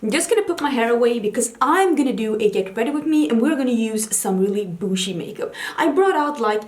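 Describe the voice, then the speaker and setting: A woman's voice: mid-range voice, darkish tone, reverberant, a little hiss or hum. A young Swedish woman with a Swedish accent, chatting casually at her makeup station while she gets ready, giggling and teasing herself. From Sweden. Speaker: beauty vlogger. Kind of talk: casual get-ready-with-me chat. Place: home makeup station, indoors.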